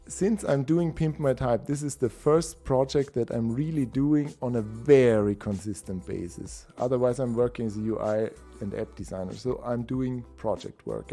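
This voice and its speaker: A man hosting a casual live stream, a bit hoarse from being unwell, chatty and enthusiastic. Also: mid-range voice, a little slow, dark tone, soft background music. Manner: enthusiastic, chatty, with laughs and restarts. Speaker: host of a typography livestream.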